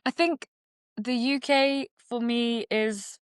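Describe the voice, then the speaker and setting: A woman's voice: on the high side, fairly even intonation, slow, smooth, no room sound, clean studio sound. A woman, a guest in a podcast interview, answering in a reflective way with many fillers and restarts. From United Kingdom. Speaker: guest, dentist and singer-songwriter. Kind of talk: conversational interview.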